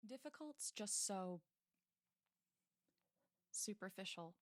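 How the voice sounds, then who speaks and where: A woman's voice: medium pitch, expressive, slow, no room sound. Guarded, sarcastic young woman, dry close sound. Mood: guarded, defiant. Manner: sardonic, hesitant. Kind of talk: audio drama acting.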